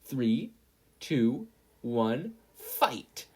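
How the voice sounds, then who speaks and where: A man's voice: mid-range voice, big pitch swings, very slow, husky, exaggerated voice. A man voicing a bold, authoritative woman refereeing a fight in a story reading, with commanding announcer delivery.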